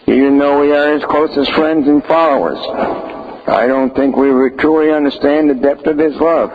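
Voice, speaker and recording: Humble, devoted biblical disciple, male actor, solemn deliberate delivery, church drama, lo-fi sound with faint noise. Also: on the high side, steady tone, lightly acted. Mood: humble, reverent. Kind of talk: church stage monologue.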